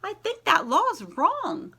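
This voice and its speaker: A woman voicing an earnest, brave second-grade girl in a children's storybook, speaking in a halting, earnest way. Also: very high voice, very animated, pushed and bright, pitched above the performer's own voice, heavily acted. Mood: hesitant.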